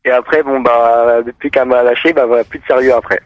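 Young man, quick talker, slightly dull line. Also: mid-range voice, fairly even intonation, dry recording. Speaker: radio show caller. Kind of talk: casual chat. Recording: slightly dull, lo-fi call sound.

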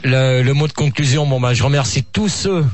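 A man chatting on a live radio show, halting and full of repetitions. His voice sits at an ordinary pitch. The sound is dry and close to the mic. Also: no echo. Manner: flustered, repeats himself, many hesitations. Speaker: radio show guest celebrating his birthday. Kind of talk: casual live radio banter.

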